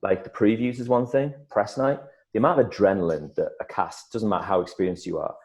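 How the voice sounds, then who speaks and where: Low-voiced animated male storyteller. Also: brisk. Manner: animated storyteller, many restarts and repeated words. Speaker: actor guest. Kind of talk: casual interview chat.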